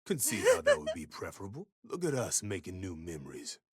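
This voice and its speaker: A brooding, stoic gunslinger from a video game. His voice is deep and husky, and it swings expressively through terse, drawled phrases. It sounds dry and close. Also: wildly swooping pitch, a little fast, no echo, heavily acted. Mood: gruff affection. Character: stoic male gunslinger, devoted husband, brooding and gruff. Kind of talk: video game character voice lines.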